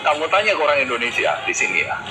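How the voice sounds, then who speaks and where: A man's voice: on the high side, very animated, quick pace. Impassioned man in an echoey hall. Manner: forceful, emphatic. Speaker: speaker. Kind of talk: impassioned speech. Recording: very echoey, loud music.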